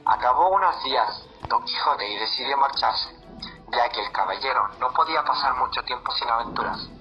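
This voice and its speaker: A man's voice: mid-range voice, animated, normal speed, lo-fi sound, faint music behind. A man reading a scripted plot summary as a narrator. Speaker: narrator of a student book summary.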